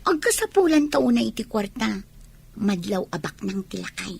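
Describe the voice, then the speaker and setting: A woman's voice: medium pitch, big pitch swings, normal speed, vocal fry, a little mellow, husky, dry recording, full character voice. A woman with an Ilocano accent playing a bold, scheming young college girl in a radio comedy drama. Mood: scheming, brazen.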